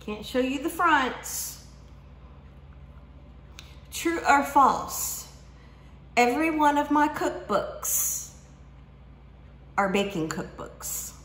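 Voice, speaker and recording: A woman in her forties with a drawl talks slowly and playfully, stretching her questions and pausing to let them sink in. Her voice sits a little high. The sound comes from a camera across a table in a roomy, echoing kitchen. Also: lively intonation, fairly bright tone, a little hiss or hum. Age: 40s. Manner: chatty, teasing, drawn-out questions with pauses. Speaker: book vlogger. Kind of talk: casual chat to camera. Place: home kitchen, indoors. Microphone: camera at desk distance.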